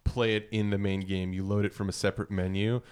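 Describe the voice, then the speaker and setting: A man's voice: fairly low pitch, flat delivery, quick pace, a little mellow, smooth. A man talking casually on a video game podcast, explaining things in a hedging way. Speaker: podcast panelist.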